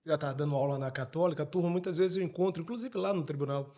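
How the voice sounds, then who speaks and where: A man's voice: a little high, fast talker, dark tone, highs cut off. A man chatting casually as a podcast co-host. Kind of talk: casual chat.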